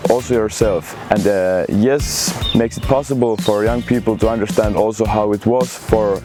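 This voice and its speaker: A man's voice: lowish voice, steady tone, moderate pace. A young man from Finland giving a testimonial as an exchange student. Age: young adult. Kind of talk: interview testimonial. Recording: music playing behind the voice. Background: music.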